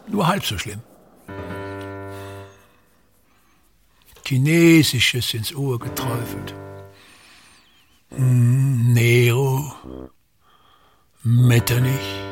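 An actor playing a pompous, embittered, self-pitying touring actor, grumbling and declaiming in a theatrical radio play monologue. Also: male voice, mid-range voice, creaky, full character voice. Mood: brooding, then musing.

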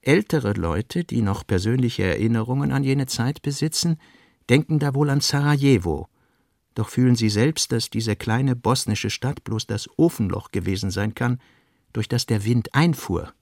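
A man reading essayistic literary prose for radio with a measured, deliberate delivery. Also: medium pitch, lively intonation, vocal fry, a little mellow, no room sound, studio quality. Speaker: narrator.

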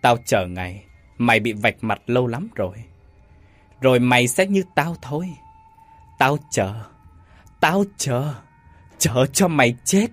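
A man's voice: medium pitch, unhurried, pauses often, dry recording, faint music behind, lightly acted. A man voicing a vengeful female ghost who gloats with menace in a horror story. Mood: gloating menace.

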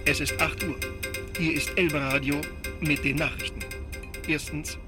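A man's voice: on the high side, fairly even intonation, moderate pace, close to an everyday voice. A man playing a morning radio newsreader announcing headlines. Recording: loud music under radio voice.

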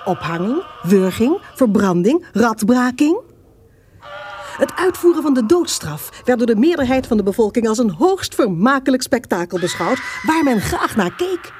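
A woman's voice: a little high, sing-song, moderate pace, a little mellow. A woman narrating a historical radio drama in a scripted, expository storytelling style. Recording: faint background noise.

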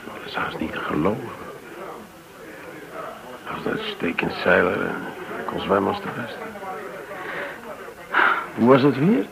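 An actor playing an excitable, talkative traveller and old friend, with a halting, exclamatory way of speaking. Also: male voice, deep voice, vocal fry, crowd noise, exaggerated voice. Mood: shocked, subdued. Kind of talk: naturalistic radio play acting. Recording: noisy old radio drama recording.

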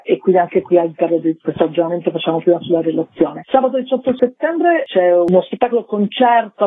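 A woman's voice: on the low side, breathy edge, no room sound. A woman, a festival director explaining a programme as a radio interview guest. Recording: narrow-band radio phone line.

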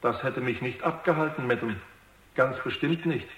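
An echoing, anxious young robot. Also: male voice, medium pitch, moderate pace, a bit bright. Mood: earnest, reasoning. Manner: deferential, earnest, slightly hesitant. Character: new male robot, naive, polite, earnest and anxious. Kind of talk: radio drama acting. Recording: echoey, roomy radio drama production.

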